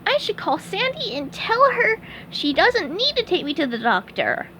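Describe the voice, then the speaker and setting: A woman voicing a cheerful young male sea sponge with a very high, squeaky voice. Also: big pitch swings, normal speed, bright, forceful tone, a little hiss or hum, full character voice, rough recording. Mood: hopeful.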